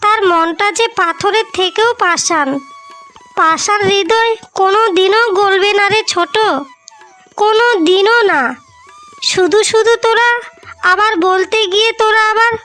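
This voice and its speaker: A woman plays a young village wife in a cartoon, extremely high and childlike in pitch. She speaks slowly and pleadingly, earnest and full of sorrow, in a bright tone. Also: steady tone, faint music behind, heavily acted. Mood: sorrowful, resigned. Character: two young married village sisters, earnest and tearful. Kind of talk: cartoon story voice acting.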